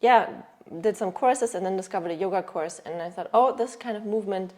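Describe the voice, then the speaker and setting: A woman in her thirties from Germany, a yoga teacher speaking in a casual interview and pausing to search for words. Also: mid-range voice, darkish tone. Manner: thoughtful, searching for words with restarts.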